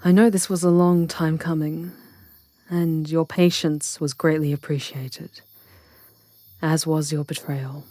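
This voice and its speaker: A woman's voice: low voice, steady tone, a little slow, mellow, no echo. A woman playing a matter-of-fact witch leader allied with a hunter, talking plainly and conversationally in an ASMR fantasy roleplay. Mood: relieved, reflective. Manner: plain-spoken, conversational, explanatory.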